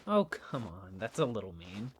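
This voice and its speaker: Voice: sits low, big pitch swings, normal speed, a little hiss or hum. An actor playing an earnest, easily flustered young friend in a naturalistic audio drama. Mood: defensive. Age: young adult. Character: earnest, defensive young friend in a strange town.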